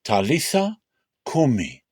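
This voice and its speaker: A man voicing a calm, authoritative teacher and healer in a measured, solemn dramatic scripture reading. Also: medium pitch, husky, dry recording, clean studio sound, full character voice. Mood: gently commanding.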